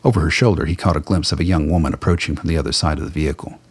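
A man's voice: very deep voice, racing, slightly rough. A man reading scripted narration for a radio drama.

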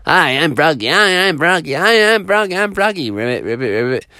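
Chummy identical frog ballplayers, all voiced by one man, high and excitable. They chatter boisterously with lively jumps in pitch, like characters in a storybook. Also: a little fast, dry recording, clean studio sound, full character voice. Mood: cheerful, proud.

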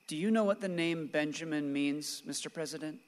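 A bereaved, bitter husband and father in a radio drama, played by a man. He is weighed down by guilt and clings to his guns. The voice sits a little high and rings in a hall. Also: fairly quick, lightly acted. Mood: sorrowful, bitter. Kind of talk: stage-like radio play acting. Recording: reverberant hall-like room.

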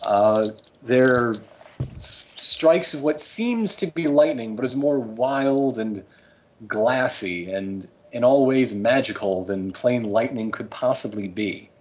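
A man's voice: medium pitch, animated, slow, darkish tone, slight background noise. A man running a tabletop game as game master, describing scenes with many hesitant fillers. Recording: muffled lo-fi recording.

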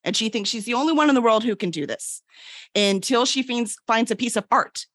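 A woman's voice: fairly high pitch, brisk, fairly bright tone, no room sound. A woman speaking as a guest author, chatting in a breezy, informal, conversational way. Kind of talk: casual chat, pitching own books.

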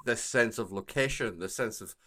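A man co-hosting a podcast in casual discussion, speaking in a halting way and circling back over his phrases. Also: lowish voice, fairly bright tone. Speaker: podcast co-host.

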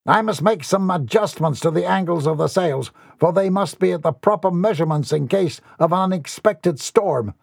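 A man's voice: high-pitched, moderate pace, vocal fry, hardly any pauses, husky, no room sound, clean studio sound, pitched above the performer's own voice, heavily acted. A man voicing a boy who plays a haughty, theatrical pirate captain in a story. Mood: self-important. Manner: haughty, commanding, dramatic.

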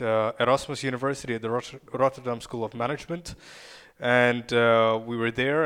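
A man's voice: medium pitch, a bit bright. A man from Ukraine, a podcast guest, telling stories in a chatty way and laughing often. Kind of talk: casual podcast chat.

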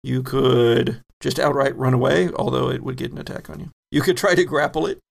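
A man's voice: medium pitch, steady tone, fairly quick, vocal fry. A man narrating as game master of a horror roleplaying game, conversational and descriptive as he improvises.

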